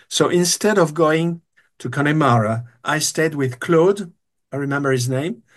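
An older man, a guest telling long stories in an interview, hesitant with many restarts. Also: fairly high pitch. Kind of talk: storytelling interview.

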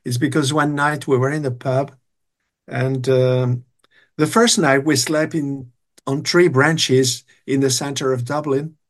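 A man's voice: medium pitch, flat delivery, a little slow. An older man, a guest telling long stories in an interview, hesitant with many restarts. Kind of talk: storytelling interview.

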